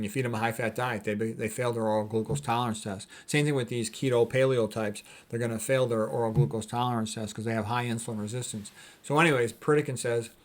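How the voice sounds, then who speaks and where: A man giving an informal lecture as a physician, commenting on quotes in a blunt, dismissive, colloquial way and repeating his points emphatically. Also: mid-range voice.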